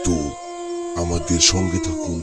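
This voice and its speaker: Deep-voiced man introducing a horror show. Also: monotone, moderate pace. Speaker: host of a horror story channel. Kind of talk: scripted channel intro addressed to listeners. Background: music.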